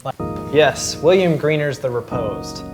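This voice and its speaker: A woman with an exceptionally deep voice talks in an informal, conversational way. She hosts as a photographer sharing thoughts on images, and music plays faintly underneath. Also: lively intonation, measured pace. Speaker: host and photographer. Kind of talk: casual chat.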